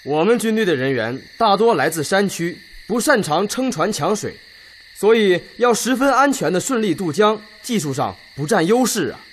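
An actor in a Chinese radio drama playing a worried Red Army officer raising objections emphatically. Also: male voice, high voice, lively intonation, normal speed, a little hiss or hum. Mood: concerned.

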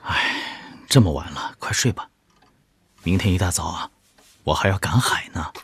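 An actor playing a weary, dutiful young fisherman husband in a radio drama, husky and sighing as he reassures. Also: low voice, moderate pace, fairly bright tone, in a whisper, heavily acted. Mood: sleepy. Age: 20s. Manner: soothing, sighing, earnest.

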